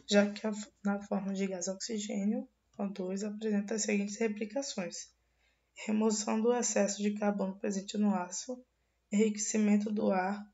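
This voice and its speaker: A young woman, a university student, giving a class presentation while hoarse from a cold, reading from slides and often restarting words. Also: mid-range voice, dark tone, lo-fi sound. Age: young adult.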